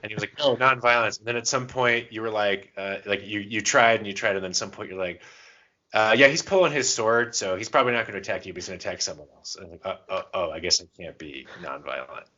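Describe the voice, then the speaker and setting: A man co-hosting a podcast, chatting casually and telling stories by acting out quick bits of dialogue. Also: on the low side, bright, forceful tone, lo-fi sound. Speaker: podcast co-host. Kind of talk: casual chat about tabletop roleplaying.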